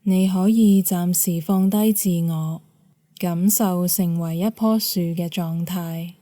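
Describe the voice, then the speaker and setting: A woman leads a guided meditation as an instructor, calm and measured, giving gentle instructions with pauses. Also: lowish voice, no room sound, studio quality.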